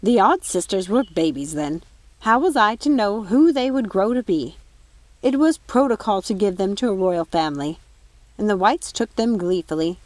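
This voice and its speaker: A woman's voice: medium pitch, expressive, moderate pace, a little mellow, no room sound, close to an everyday voice. A woman voicing an older fairy nanny in a storybook, protective and remorseful, speaking with emphatic reproach. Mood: defensive.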